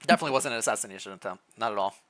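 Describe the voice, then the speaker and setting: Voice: mid-range voice, rapid-fire, a bit bright. A streamer reading interactive fan fiction aloud, with playful asides between the narration. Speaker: streamer, reader.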